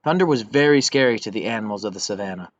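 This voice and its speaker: A young man reading a children's story aloud in an expressive, scripted way. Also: on the low side, fast, dry recording. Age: young adult. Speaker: volunteer storybook reader. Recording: dull lo-fi recording.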